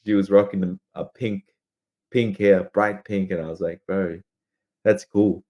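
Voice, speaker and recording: A man's voice: fairly low pitch, steady tone, unhurried, mellow. A man co-hosting a podcast in a slangy, informal, chatty way. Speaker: podcast co-host. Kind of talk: casual chat.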